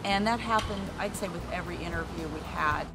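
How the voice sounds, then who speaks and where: A woman's voice: lowish voice, a little fast, very noisy. A middle-aged woman talking casually in an interview, sharing her experience. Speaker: author of a local history book, interviewee. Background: loud music.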